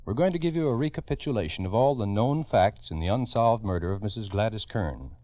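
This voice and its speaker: Grave, low-voiced 1940s radio crime host, man. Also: expressive, fairly quick, dry recording. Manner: grave, emphatic, urgent. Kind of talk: dramatic 1940s radio narration. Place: radio studio. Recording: dull old radio recording.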